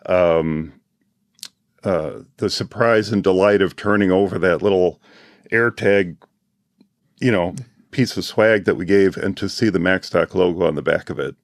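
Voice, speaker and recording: A man with a very low voice, hoarse from losing it, talks about his event in a heartfelt, reflective way. He clears his throat often between thoughts. The sound is dry and close. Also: unhurried, no echo. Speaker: conference organizer. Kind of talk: casual panel chat.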